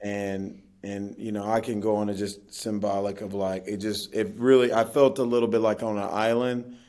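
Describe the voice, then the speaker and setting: A man's voice: on the low side, little pitch movement, moderate pace, darkish tone. A man who is a pastor, talking about faith in a reflective, earnest way as a podcast guest. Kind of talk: casual conversational interview.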